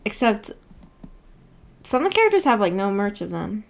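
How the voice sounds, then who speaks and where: A woman with a mid-range voice talks casually as the host of a livestream book club. She rambles in an unhurried, giggly way, responding to comments as they come in. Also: medium pitch, sing-song, vocal fry. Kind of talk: casual livestream chat, replying to viewer comments.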